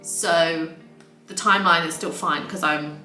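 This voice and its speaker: Late-20s woman, frank vlogger, bright tone, echoey room with faint music under. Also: low voice, fairly even intonation, measured pace. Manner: candid, exasperated asides. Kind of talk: casual get-ready-with-me monologue.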